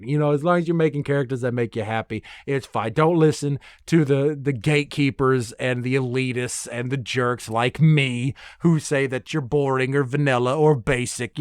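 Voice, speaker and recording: A man's voice: mid-range voice, clean tone, no room sound, clean studio sound. A man hosting a solo podcast in a casual, rambling, self-deprecating way with informal language. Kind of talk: casual rambling monologue, conversational.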